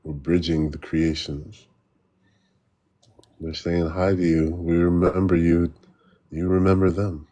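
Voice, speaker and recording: A very deep-voiced man hosts a loose spiritual conversation over a call. He rambles, repeats words as he searches for them, and laughs easily between thoughts. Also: flat delivery, slow pace, long pauses, dark tone. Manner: rambling, stammering, laughs often. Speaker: host. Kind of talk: casual spiritual chat.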